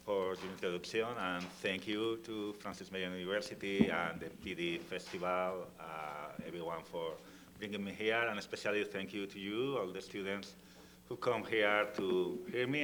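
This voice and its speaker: A man from Spain with a Spanish accent, speaking as a panelist to students, halting and full of fillers and restarts. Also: deep voice, a bit bright, slight background noise. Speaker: festival panelist, comics author. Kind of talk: panel talk on stage. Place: university hall, in front of students. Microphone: lavalier clip mic.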